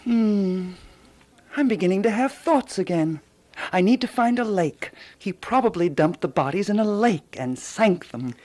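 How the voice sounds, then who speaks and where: A woman's voice: medium pitch, lively intonation, a little slow, dark tone, lightly acted. An actress playing a fussy, self-important, eccentric woman sleuth in a radio drama, theatrical and given to pondering aloud. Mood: ponderous, musing.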